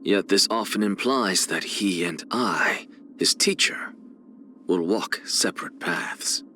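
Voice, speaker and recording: A man's voice: low voice, slow, sharp tone, slight background noise, full character voice. A man playing an arrogant, eccentric scholar and teacher in a video game, with a haughty, theatrical, lecturing manner. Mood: measured, reflective.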